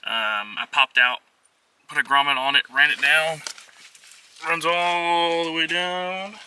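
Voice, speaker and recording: A man's voice: fairly high pitch, fairly even intonation, slow, sharp tone. A man giving a casual, unscripted how-to walkthrough as a vlogger, talking in an offhand, hesitant way with trailing phrases. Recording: noisy on-location vlog audio.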